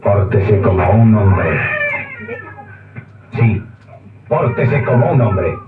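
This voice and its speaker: Solemn old radio pitchman, slow and preachy, played by a man; lo-fi recording, echoey room from afar. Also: animated, heavy background noise. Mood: commanding. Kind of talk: vintage radio commercial. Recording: tinny lo-fi sound with loud music, echoey room from far off.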